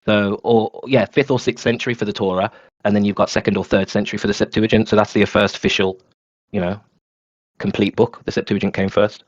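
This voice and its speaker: A man's voice: fairly low pitch, little pitch movement, brisk, no echo, lo-fi sound. A man in a casual discussion, often restarting his sentences and asking if others follow. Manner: stumbling restarts, questioning tone.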